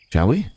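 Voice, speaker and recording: A man's voice: warm tone, no room sound. A man playing a courteous, guarded werewolf from the city in an intimate ASMR roleplay, speaking in hesitant, formal, polite phrases. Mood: sheepish, genial.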